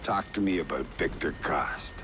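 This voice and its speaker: An actor playing a grim, gravelly middle-aged renegade agent in a video game, terse and quietly menacing. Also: male voice, extremely low pitch, moderate pace, noisy background. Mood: quiet menace. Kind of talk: video game cutscene acting.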